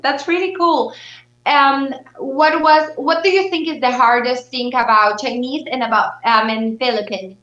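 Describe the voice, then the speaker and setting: A woman's voice: sits high, steady tone, unhurried, fairly bright tone. A young woman from Bolivia answering questions conversationally as an interview guest, on roomy, muffled audio. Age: young adult. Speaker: interview guest, beauty pageant titleholder.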